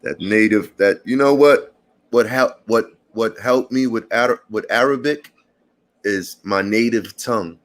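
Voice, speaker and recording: A man, chatting casually to passersby and viewers in a relaxed morning vlog, calling everyone brother and man. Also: fairly low pitch, measured pace. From Puerto Rican family. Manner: laid-back, slangy, calls people brother and man. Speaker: vlogger.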